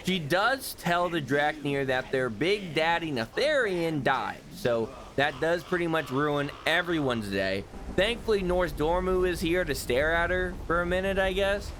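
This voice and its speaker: A man's voice: on the high side, animated, measured pace, hardly any pauses, a bit bright, slight background noise. A man casually narrating a game overview as a youtuber, offhand and self-deprecating, swearing freely. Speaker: gaming youtuber.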